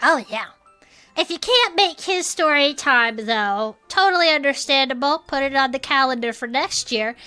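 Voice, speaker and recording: A woman's voice: high-pitched, lively intonation, moderate pace, sharp tone, faint music behind. A woman playing a cheerful small-town radio co-host in an audio drama, chatting in an upbeat, agreeable way. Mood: playful, storytelling. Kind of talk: improvised in-character audio drama chat show.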